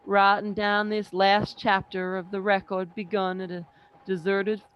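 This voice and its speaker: A performer playing a sombre professor who survived an invasion, narrating in measured, literary radio play style. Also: mid-range voice, level tone, smooth, lo-fi sound, natural voice. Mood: solemn, reflective.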